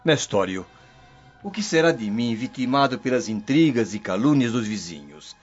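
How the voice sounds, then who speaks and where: A man playing a tormented believer who pleads with a swinging, lively intonation at a middle pitch. The audio is dull, with music faint underneath. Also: moderate pace, lightly acted. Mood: pleading. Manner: anguished pleading.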